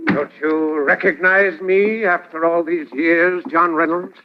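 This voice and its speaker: Man playing a gloating mad villain, high-pitched voice. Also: fairly even intonation, unhurried, noisy background, close to an everyday voice. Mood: menacing. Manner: theatrical, menacing, savoring his words. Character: vengeful mad male scientist, gloating sadistic villain. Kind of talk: 1940s radio drama acting. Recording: muffled old-time radio recording.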